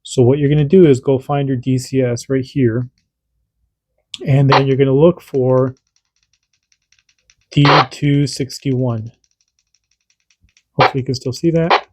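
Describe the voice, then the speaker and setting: A man's voice: mid-range voice, steady tone, measured pace, long pauses, a little mellow, husky. A man hosting a casual radio hobby tutorial, chatty and repetitive, doing radio checks as he demonstrates. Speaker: radio hobby channel host.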